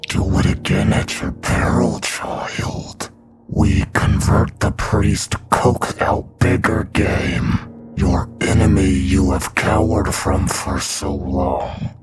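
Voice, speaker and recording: A man's voice: extremely low pitch, lively intonation, drawn-out words, over music, exaggerated voice. A man voicing an imperious, menacing demon lord of vampires in dramatic fantasy game dialogue.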